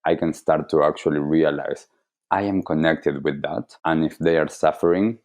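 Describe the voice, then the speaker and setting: A man with an Argentine accent giving reflective interview answers as a wellness coach, explaining deliberately and earnestly. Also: rumbling low, a little mellow. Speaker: wellness coach, podcast guest.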